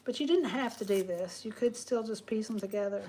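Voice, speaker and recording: A woman's voice: mid-range voice, fairly quick, dark tone, a little hiss or hum, low-quality recording. A woman, chatting casually with viewers on a live stream while she crafts. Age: 40s. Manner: chatty, talks to viewers and reads comments aloud. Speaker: craft livestream host.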